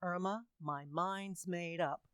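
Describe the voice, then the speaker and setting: A woman acting a firm, weary mother, measured and reproving, in a radio comedy. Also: lowish voice, fairly bright tone, smooth, dry recording, clean studio sound.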